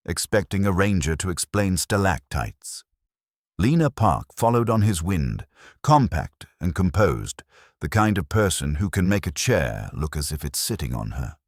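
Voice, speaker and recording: A man reading a scripted first-person detective story in a wry, measured way. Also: rumbling low, no room sound, clean studio sound. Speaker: audiobook narrator.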